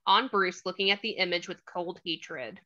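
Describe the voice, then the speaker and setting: A woman's voice: fairly low pitch, moderate pace, pushed and bright. A woman reading stage directions as the narrator of a screenplay table read. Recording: slightly dull lo-fi audio.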